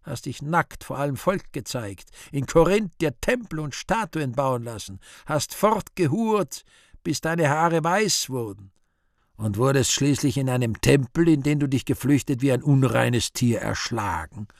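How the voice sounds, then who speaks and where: An actor playing the Devil in a radio play, weary, sly and scornful, declaiming slowly and theatrically. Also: male voice, mid-range voice, animated, dry recording, clean studio sound. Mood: declaiming, accusing. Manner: slow, deliberate, theatrical declamation with drawn-out words.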